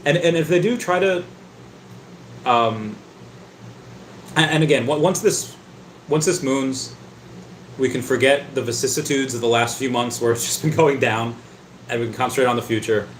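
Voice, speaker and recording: A man's voice: medium pitch, moderate pace, long pauses, slight background noise. A man hosting a casual livestream, reading out chat comments and rambling, often stammering and repeating his words. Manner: rambling, talkative. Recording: roomy echo.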